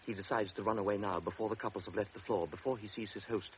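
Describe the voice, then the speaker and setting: A man narrating a radio drama in a measured, even storytelling manner. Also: lowish voice, crowd noise. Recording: dull old radio broadcast, faint hiss.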